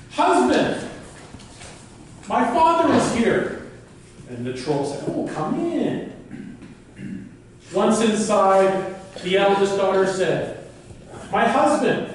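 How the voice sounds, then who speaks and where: A man telling a folk tale as a live speech, with deliberate, theatrical pauses and emphasis. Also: sits high. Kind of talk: animated live storytelling speech. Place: indoor club meeting room. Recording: very echoey room, distant mic, faint noise.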